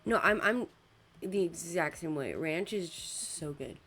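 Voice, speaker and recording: A young woman hosting a casual streamed podcast. She giggles often between words, and her voice is worn from recent shouting. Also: lowish voice, animated, unhurried, soft background music. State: losing her voice. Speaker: podcast host and VTuber.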